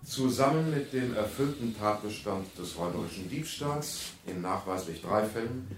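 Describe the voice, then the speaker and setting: An actor playing a stern, formal judge reading out a verdict in a radio crime drama. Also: male voice, fairly low pitch, lively intonation, normal speed, loud music behind the voice, natural voice. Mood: stern, matter-of-fact. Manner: formal, official, declamatory. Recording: echoey courtroom, distant mic, faint noise.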